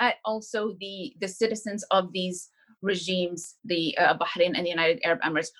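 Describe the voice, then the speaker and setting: A woman activist answers interview questions with passion. She speaks quickly, often restarting her phrases and using fillers. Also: fairly low pitch, steady tone, fairly bright tone. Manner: impassioned, fast, with many fillers and restarts. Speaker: guest activist.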